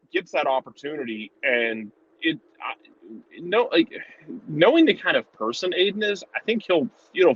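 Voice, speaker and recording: Male soccer commentator, mid-pitched, conversational and informal, slightly lo-fi sound. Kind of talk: casual sports analysis chat.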